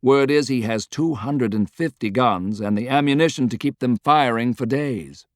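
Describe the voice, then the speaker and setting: A man's voice: mid-range voice, normal speed, dry recording, studio quality, voice pushed up, lightly acted. Man playing an earnest, confiding political adviser. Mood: earnest briefing. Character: loyal, good-humored male political adviser, frank and measured.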